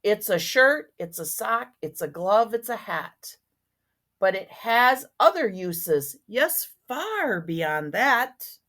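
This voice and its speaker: Brash, greedy storybook businessman, played by a woman, boastful and dismissive, slow and highly animated. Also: exaggerated voice. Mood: defensive, boastful.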